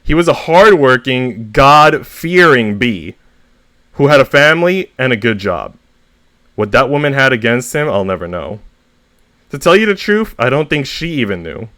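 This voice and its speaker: A man's voice: medium pitch, very animated, moderate pace, a bit bright, no room sound. A man voicing an upset, vengeful squirrel who grieves a friend, sounding emotional and aggrieved.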